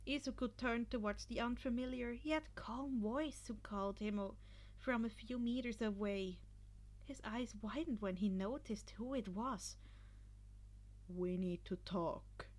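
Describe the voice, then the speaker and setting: A woman reading fiction aloud with expressive, dramatic narration and a casual, informal touch. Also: fairly high pitch, a little slow. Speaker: podfic reader.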